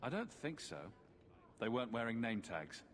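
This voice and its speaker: An actor playing a wry, sardonic mercenary hero in a video game, flippant about danger and killing. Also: male voice, mid-range voice, sing-song, moderate pace, fairly bright tone. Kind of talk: dramatic video game voice acting. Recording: game dialogue with music underneath.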